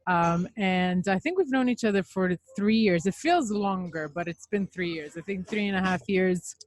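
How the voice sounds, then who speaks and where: A woman's voice: mid-range voice, normal speed, no room sound. A young woman from Dubai, Serbian as her first language, chatting openly as a podcast guest in a cafe. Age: young adult. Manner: open, chatty storytelling. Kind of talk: casual interview chat.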